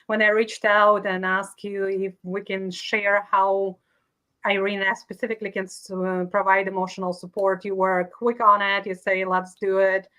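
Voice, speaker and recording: A woman's voice: medium pitch, level tone, normal speed, pushed and bright, smooth. A woman from Ukraine, a native Russian speaker, talking earnestly as an interview guest and leadership coach. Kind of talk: conversational interview.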